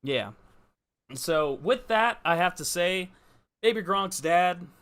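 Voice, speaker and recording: A man with a bright, forceful voice pitched on the high side co-hosts a casual live football show. He talks earnestly and informally, leaning hard on his points as he goes. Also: animated, slow pace. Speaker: podcast co-host.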